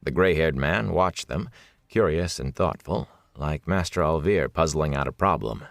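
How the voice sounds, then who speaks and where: A man's voice: rumbling low, lively intonation, normal speed, creaky, no echo. A man reading a fantasy novel as a scripted audiobook narration, close on the microphone.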